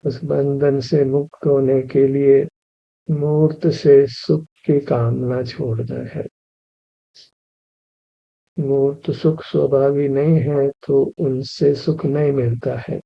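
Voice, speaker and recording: A man leading a guided meditation, giving slow, even spiritual instruction and repeating key phrases. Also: mid-range voice, creaky. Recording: dull lo-fi recording.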